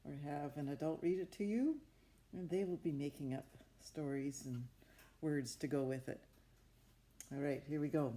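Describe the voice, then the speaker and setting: A woman's voice: deep voice, moderate pace, dark tone. A woman improvising a story from a picture book, talking expressively and with wonder, as if to young children. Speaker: storybook narrator.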